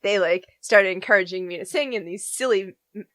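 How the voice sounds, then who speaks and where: A woman's voice: mid-range voice, fairly quick, fairly bright tone, no echo. A young adult woman cohosting a podcast, talking casually with many fillers and small laughs. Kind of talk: casual chat.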